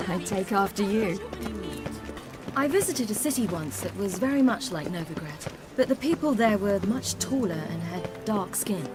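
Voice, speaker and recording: Fantasy game heroine, actress as young woman adventurer, British accent, mid-range, candid and quietly wry. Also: mid-range voice, expressive, normal speed, over music, natural voice. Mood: earnest. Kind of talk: game cutscene dialogue.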